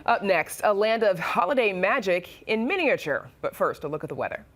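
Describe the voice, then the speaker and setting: A woman's voice: medium pitch, big pitch swings, brisk. An American woman hosting a broadcast news program, putting questions to reporters and reading introductions. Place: TV studio.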